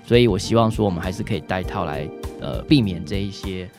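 A man's voice: sits low, quick pace, gravelly. A man with a Taiwanese Mandarin accent, a doctor giving informal advice as a guest, often ending phrases on small particles. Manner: frequent trailing particles, role-plays examples in passing. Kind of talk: informal question-and-answer advice. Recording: music behind the voice.

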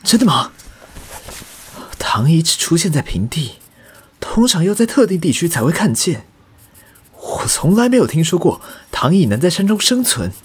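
A man's voice: fairly high pitch, sing-song, breathy edge, exaggerated voice. A man playing a thoughtful, courteous university professor in an audio drama, pondering as he explains. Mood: curious, engaged. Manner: measured, scholarly, pondering.

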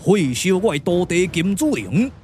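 A man's voice: high voice, sing-song, a little mellow, soft background music. A man voicing a proud, wrathful ancient Taoist immortal in a Taiwanese puppet-theatre radio drama, declaiming grandly with a Taiwanese accent. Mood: indignant, menacing. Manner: grandiose, declamatory, drawn-out chanting.

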